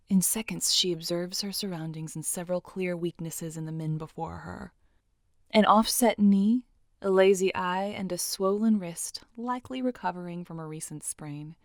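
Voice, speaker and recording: Low-voiced woman narrator, very dry recording. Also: no echo. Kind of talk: scripted dramatic story narration.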